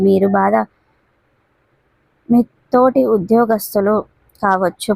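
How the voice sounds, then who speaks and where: A woman's voice: medium pitch, gravelly, dark tone, dry recording, poor audio. A woman presenting a daily horoscope narration as an astrology channel host, in wordy, repetitive phrasing.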